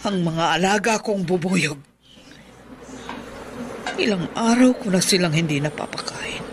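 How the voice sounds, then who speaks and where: Radio horror villain: a widowed old sorceress, menacing and cold, raised pitch, hissing noise in the recording. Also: male voice, a little slow. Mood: sinister. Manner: menacing, threatening, sinister. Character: vengeful widowed old woman, a bee-wielding sorceress. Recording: noisy radio drama track. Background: buzzing bees.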